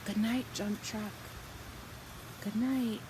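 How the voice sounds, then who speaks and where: A woman reading a picture book aloud to young children, expressive and animated, drawing out her words and softening for bedtime lines. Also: medium pitch, noisy background. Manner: slow, animated delivery with drawn-out words and hushed, whispered good nights. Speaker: children's storybook reader.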